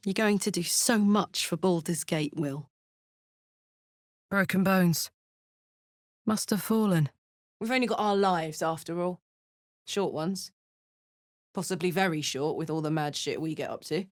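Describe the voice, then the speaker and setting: Woman acting a blunt, unhurried devilish warrior woman. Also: on the low side, dry recording, clean studio sound, lightly acted. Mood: wry, frank, then casual, wry. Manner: blunt, earthy, sweary. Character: tough, big-hearted female devil-touched warrior escaped from hell. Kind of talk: video game character voice acting.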